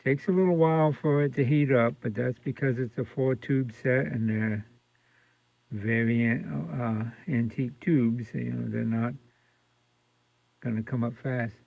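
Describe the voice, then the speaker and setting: A man giving a casual, unscripted radio repair demonstration in hesitant, halting phrases, recorded indoors. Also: mid-range voice, steady tone, creaky, dry recording. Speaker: radio restorer demonstrating a set. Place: indoor room with fluorescent lights. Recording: dull lo-fi home video sound.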